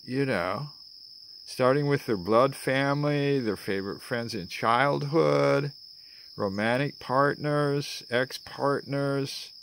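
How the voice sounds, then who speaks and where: An American man in his sixties giving a theatrical spoken-word political rant to camera, with dramatic pauses and wry asides. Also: medium pitch, vocal fry, a little hiss or hum, rough recording. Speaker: vlogger. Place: outdoors among greenery. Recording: outdoor ambience with chirping insects.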